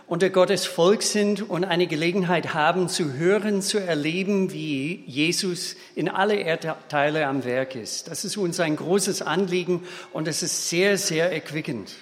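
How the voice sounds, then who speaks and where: A man in his fifties from Minnesota with an American accent, preaching a sermon in a halting way, with restarts and small slips. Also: a little high. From Minnesota, USA. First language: English. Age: 50s. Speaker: guest preacher. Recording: long hall reverb.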